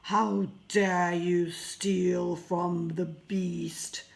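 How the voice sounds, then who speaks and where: Woman putting on a slow, roaring angry beast. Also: on the low side, flat delivery, creaky, heavily acted. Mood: furious. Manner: slow, loud, commanding roar. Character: hideous roaring beast, male, angry and menacing.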